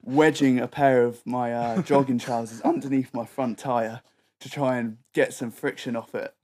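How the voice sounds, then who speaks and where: A man's voice: mid-range voice, fairly even intonation, normal speed, a little mellow. A young adult British man telling an anecdote in a casual, slangy way, quoting his own thoughts as he goes.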